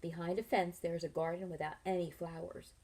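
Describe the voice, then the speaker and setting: A middle-aged woman, a teacher reading a picture book aloud to children, expressively and with pauses to ask them leading questions. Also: lowish voice, level tone, dark tone. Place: small furnished room at home, indoors.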